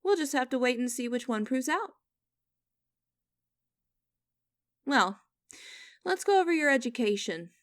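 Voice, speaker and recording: A woman playing a stern, mocking young company boss in a scripted audio roleplay, speaking in a formal, deliberate and condescending way. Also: sits high, lively intonation, no room sound, studio quality.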